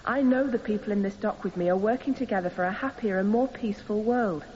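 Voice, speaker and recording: An actress playing an earnest, defiant young woman on trial, speaking deliberately in a radio drama courtroom. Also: medium pitch, darkish tone, close to an everyday voice. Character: earnest young female anarchist defendant defending herself. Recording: muffled, hissy, long hall reverb.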